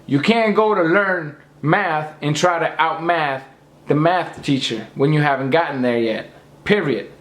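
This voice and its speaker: An emphatic man with a hoarse, slightly high voice lectures his viewers and hammers each point home. His voice bounces around an echoey room. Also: a little slow, fairly bright tone. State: losing his voice. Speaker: boxing commentator. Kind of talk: opinionated rant to camera.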